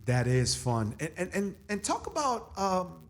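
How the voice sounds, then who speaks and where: A man's voice: high voice, wildly swooping pitch, unhurried. A middle-aged man hosting a radio interview show from a studio, reading an emphatic scripted introduction and asking questions. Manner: upbeat, emphatic announcer delivery. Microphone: studio microphone with headphones.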